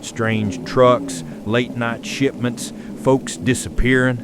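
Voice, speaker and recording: A man playing a weary, middle-aged county sheriff, giving a grave, folksy emergency broadcast in a horror audio drama. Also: close to an everyday voice. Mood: resigned, grave. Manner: folksy, slow, resigned, grave. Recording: noisy survivor radio transmission, vehicle-like rumble.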